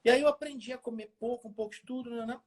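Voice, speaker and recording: Guy around 40, rural minas gerais accent, talks quick n lively, bit raspy, super casual. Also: very high-pitched. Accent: rural Minas Gerais Brazilian. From small town in Minas Gerais, Brazil. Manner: animated, colloquial, repeats phrases for emphasis. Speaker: health expert guest on a live chat. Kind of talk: casual chat with personal stories. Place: remote social media live video call.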